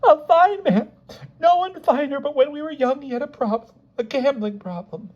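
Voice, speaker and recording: A man's voice: extremely high pitch, moderate pace, a little mellow, highs cut off, voice pushed up. A man voicing a frightened, pleading elderly grandmother in a tearful audiobook story. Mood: tearful confession.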